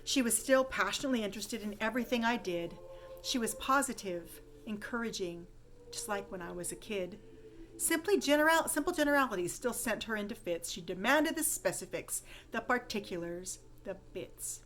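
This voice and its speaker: A woman reading a rhyming holiday story poem aloud with expressive, dramatic storytelling emphasis. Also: mid-range voice, normal speed, slight background noise. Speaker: poem reader.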